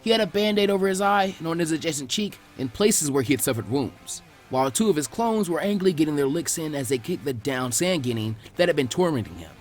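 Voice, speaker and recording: Animated higher-pitched man reading a story over music. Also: quick pace, few pauses. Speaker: fan fiction narrator.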